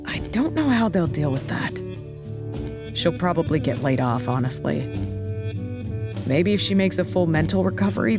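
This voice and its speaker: A low-pitched woman speaks with weary, blunt candor in an audio drama. She is sardonic and halting, dishing out tough love to a younger colleague. Music plays clearly behind her. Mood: resigned, musing. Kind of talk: naturalistic audio drama dialogue.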